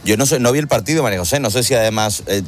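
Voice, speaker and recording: A man's voice: medium pitch, very fast, a little hiss or hum. A man presenting on radio in casual, chatty, rambling sports talk.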